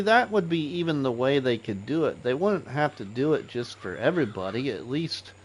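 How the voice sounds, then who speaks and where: A man hosting a casual gaming livestream, chatting to viewers in a relaxed, rambling way with fillers. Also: medium pitch, rough recording. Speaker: livestream host.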